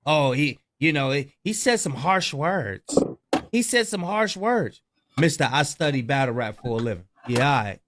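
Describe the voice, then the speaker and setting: A man reacting in casual chat, animated and mocking, firing off rhetorical questions. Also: fairly high pitch, moderate pace. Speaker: reaction show co-host.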